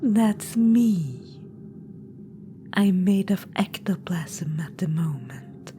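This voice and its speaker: Whispery bossy witch-queen ghost, a woman doing her, slow, a bit low, hiss behind. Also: expressive, dark tone. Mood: coaxing whisper. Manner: condescending, teasing, commanding. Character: imperious undead witch-queen spirit, female, scheming. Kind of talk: ASMR audio roleplay. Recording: clear background noise.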